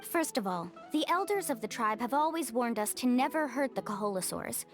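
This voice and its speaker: A woman's voice: on the high side, lively intonation, brisk, lightly acted. A woman voicing a cheerful young woman who guides her group and takes the lead. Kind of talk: game voice acting. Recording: game audio with faint music.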